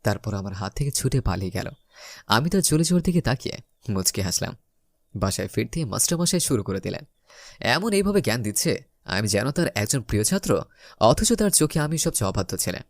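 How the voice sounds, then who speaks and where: A man's voice: medium pitch, sing-song, a little fast, creaky, dry recording, studio quality. A young man narrating a romantic comedy story in the first person, theatrically acting out the lines. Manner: theatrical, acts out his lines.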